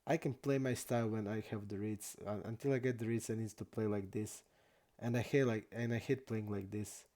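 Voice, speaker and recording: A young man from Croatia, not an English speaker, chatting casually and haltingly while streaming poker. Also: lowish voice, steady tone, warm tone. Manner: halting non-native English, many restarts and fillers.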